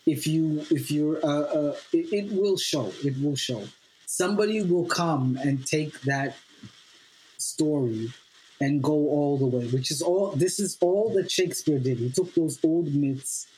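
A man whose first language is Swahili, a playwright guest on a podcast interview, earnest and halting with fillers, then building to emphatic repeated lines. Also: fairly high pitch, mellow, slight background noise, poor audio.

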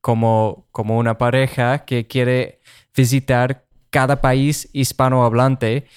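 Give me a man speaking as a second-language podcast co-host, slow and smooth-voiced. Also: mid-range voice, level tone, no echo, studio quality. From United States. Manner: hesitant, searching for words with fillers. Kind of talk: casual chat for learners.

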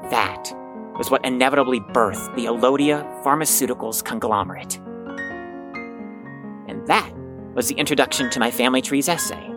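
An actor playing a pompous, proud young heir in an audio drama, reciting family history grandly with dramatic pauses. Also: medium pitch, natural voice. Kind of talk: theatrical scripted monologue, audio drama. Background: music.

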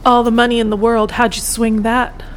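Actress as elderly bohemian woman painter, blunt, folksy teasing, higher pitch, vehicle noise behind, audio drama. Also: little pitch movement, normal speed, natural voice. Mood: wry teasing. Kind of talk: audio play acting. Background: bus or vehicle ambience.

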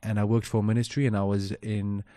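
A man's voice: fairly low pitch, level tone, quick pace, dark tone, dry recording. Upbeat South African man, dry sound. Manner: upbeat, enthusiastic, with some restarts. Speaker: podcast co-host. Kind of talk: casual self-introduction.

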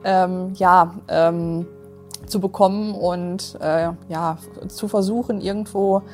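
A woman's voice: on the low side, steady tone, slow. Woman, late twenties, casual and rambling, light music underneath. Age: 20s. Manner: casual, frequent fillers. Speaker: nutrition coach. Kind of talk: recruiting video interview.

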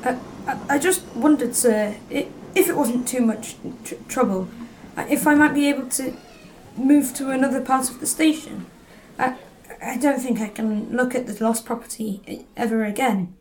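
A high-voiced, jittery railway clerk, acted by a woman in an amateur audio drama. The delivery is hesitant and stammering, as if anxious before a superior. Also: moderate pace, darkish tone, slight background noise, lightly acted, poor audio. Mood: nervous, faltering. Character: nervous, stammering railway lost property clerk. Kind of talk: community audio drama acting.

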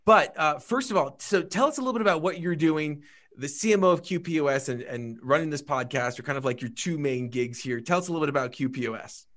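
High-energy male podcast host. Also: quick pace, a bit bright. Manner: high-energy, hyped delivery. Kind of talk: upbeat podcast hosting.

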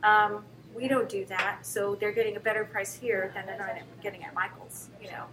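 A woman's voice: mid-range voice, steady tone, moderate pace, background noise. An older woman, a shop owner, talking casually in an interview with fillers and restarts.

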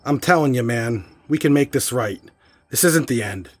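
A restless young rock musician acted by a man in a horror radio play. His voice is husky and swings animatedly, informal and insistent, recorded dry with faint music. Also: mid-range voice, animated, moderate pace, dry recording, lightly acted. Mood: agitated, pleading. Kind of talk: horror audio drama acting.

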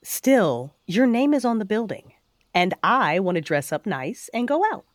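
A woman's voice: mid-range voice, sing-song, measured pace, no room sound, studio quality. A woman playing a teasing, confident and bossy superhero fighter in an audio drama. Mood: affectionate teasing. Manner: teasing, assertive.

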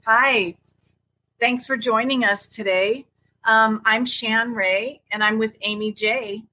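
A woman co-hosting a podcast in casual chat, talking hesitantly with many pauses and ums. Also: on the high side, steady tone. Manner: hesitant, many ums, soft-spoken openness. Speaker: podcast co-host.

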